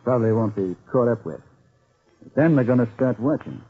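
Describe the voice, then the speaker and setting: A very husky crime boss, shrewd and menacing, with swinging intonation. He talks in commanding slang in a 1940s radio drama, through thin, muffled old broadcast sound. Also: medium pitch, expressive, fairly quick. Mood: warning. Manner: slangy, commanding tough talk. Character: shrewd male counterfeit boss, bossy and menacing underworld dealer.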